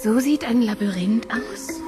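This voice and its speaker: An actress playing a dreamy, devoted woman time traveller in an intimate radio drama, hushed and breathy. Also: a little high, a little slow, music in the background, natural voice. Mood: wondering.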